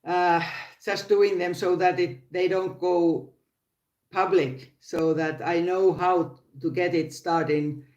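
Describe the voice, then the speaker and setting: A woman's voice: fairly low pitch, steady tone, slow pace, a little mellow, reverberant, lo-fi sound. An older woman with a Finnish accent, hosting a casual live crochet stream from her phone, often restarting sentences and laughing. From Finland. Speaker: crochet channel host.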